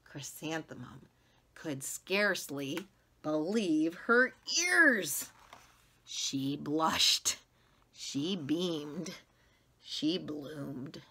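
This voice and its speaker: A middle-aged American woman reading a children's story aloud with dramatic, deliberate emphasis, recorded indoors on a camera at about arm's length. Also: deep voice, lively intonation, pushed and bright, slightly rough. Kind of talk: expressive read-aloud narration. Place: small classroom-like room, indoors.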